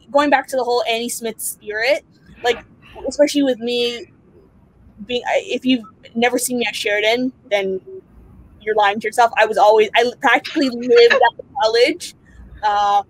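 Young woman, higher voice, talkative, lively quoted asides, webcam call from home. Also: poor audio. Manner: chatty, animated storyteller with quoted asides. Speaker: panelist artist. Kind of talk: casual video-call chat. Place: home room indoors. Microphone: laptop webcam mic on video call.

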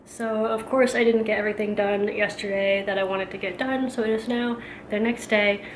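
A woman's voice: mid-range voice, fairly even intonation, moderate pace, roomy sound, over music, rough recording. A woman in her thirties chatting casually through a home cleaning and cooking vlog, rambling with plenty of fillers, filmed on a handheld camera in her kitchen. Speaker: home vlogger. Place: kitchen of a house. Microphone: handheld camera at arm's length.

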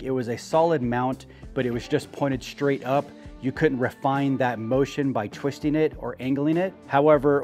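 A middle-aged man talks through a do-it-yourself build in a relaxed, explanatory way, clearly keen on the gear. His voice sits at an ordinary middle pitch, picked up close on a lapel mic in a wooden workshop. Soft music plays faintly underneath. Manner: casual, explanatory, enthusiastic about gear. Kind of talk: product build walkthrough. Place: open wooden workshop, indoors.

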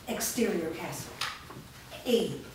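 Woman narrator, staged reading, measured scripted delivery, very reverberant room, distant mic. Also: on the low side, lively intonation. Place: large bare room with small audience, indoors. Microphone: distant camera microphone. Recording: echoey room, distant mic, faint noise.

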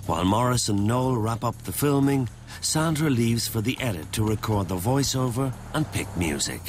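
A man narrating a reality show in a measured, matter-of-fact voice-over, with music underneath. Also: mid-range voice, lively intonation, noisy background.

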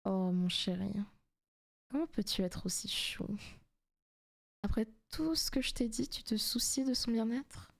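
Possessive female demon played by a woman, seductive ASMR roleplay: soft, intimate, teasing, frequent whispering. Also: on the high side, lively intonation, unhurried, vocal fry, long pauses. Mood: sly, menacing.

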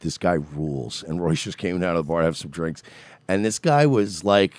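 A man's voice: deep voice, moderate pace, no room sound. A man in his thirties co-hosting a podcast in casual chat, telling stories and mimicking people he met. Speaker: podcast co-host. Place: home studio with red curtain.

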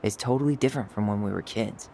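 Young American brother, wry, nostalgic, lowish voice, casual video game banter, outdoor ambience behind. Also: male voice, fast talker, vocal fry, dark tone, slight background noise. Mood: calm, reflective. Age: young adult. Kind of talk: naturalistic video game dialogue.

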